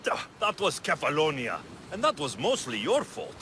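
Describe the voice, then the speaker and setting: An actor playing a determined, dry-witted young mercenary warrior in a video game, terse in manner. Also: male voice, a little high, wildly swooping pitch, moderate pace, pushed and bright, a little hiss or hum, heavily acted. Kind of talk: video game cutscene acting.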